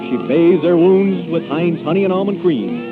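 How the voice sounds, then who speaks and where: A man acting as a melodramatic mock-opera narrator with a fairly high voice. The delivery is grandly mock-serious 1930s radio comedy, on a thin, tinny broadcast with loud music behind. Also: animated, normal speed, lightly acted. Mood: mock-dramatic.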